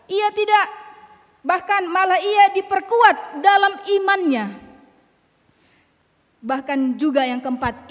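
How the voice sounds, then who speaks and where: Lady preacher, high voice, big echoey church, emphatic sermon. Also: lo-fi sound. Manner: emphatic, rhetorical questions to congregation. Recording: reverberant church hall.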